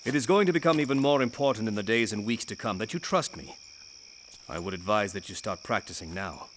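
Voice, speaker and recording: A man's voice: lowish voice, brisk, noisy background, lightly acted. A man playing a stern knightly guardian in an audio drama, speaking in a terse, formal, commanding way. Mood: weary, firm.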